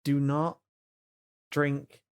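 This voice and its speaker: A man's voice: a little high, completely flat delivery, extremely slow, smooth. A British man plays along in an improvised roleplay game. He talks casually, with an emphatic, exasperated way of repeating himself. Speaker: player in a tabletop roleplay podcast.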